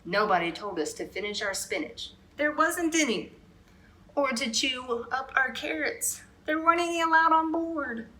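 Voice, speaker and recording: Bright-voiced woman reading a children's story. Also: high-pitched, moderate pace. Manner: expressive, with brief asides to young listeners. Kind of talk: animated picture-book read-aloud.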